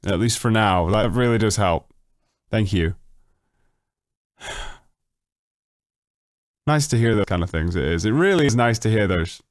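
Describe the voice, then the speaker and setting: A man's voice: unhurried, pauses often, no room sound. Chatty young man on a dry mic. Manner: chatty, swears freely, jumps up in pitch when excited. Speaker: YouTuber answering viewer questions. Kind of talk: casual late-night livestream chat. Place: home at night.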